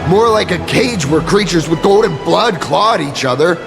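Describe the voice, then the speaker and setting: Creaky-voiced, bitter malcontent. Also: female voice, lowish voice, expressive, moderate pace. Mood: bitter scorn. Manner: scornful, accusatory. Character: bitter, hot-tempered rebellious citizen denouncing a tyrant. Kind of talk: video game voice acting. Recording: music under the voice.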